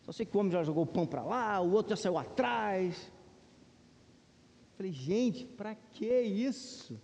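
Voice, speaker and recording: Man, Brazilian accent, soft mellow tone, conversational Bible teaching, question tags, long hall reverb. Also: high-pitched, animated, a little slow. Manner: informal, asks the audience questions and checks in with rhetorical tags. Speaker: Sunday school teacher at a church. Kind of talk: conversational Bible lesson to a congregation. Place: large church room, speaking to a congregation.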